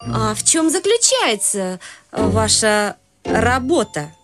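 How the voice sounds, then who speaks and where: A middle-aged woman actor playing a genteel society mother in a radio play, gracious, measured and formal. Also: fairly high pitch, sing-song, over music, heavily acted. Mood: politely inquiring.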